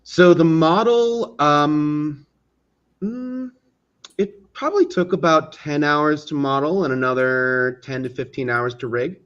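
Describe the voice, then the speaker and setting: A man's voice: on the high side, slow, a bit bright. Man explaining hesitantly over a dull call. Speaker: guest, animation and game creator. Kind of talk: casual interview chat. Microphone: online video call. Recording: slightly dull, lo-fi online call.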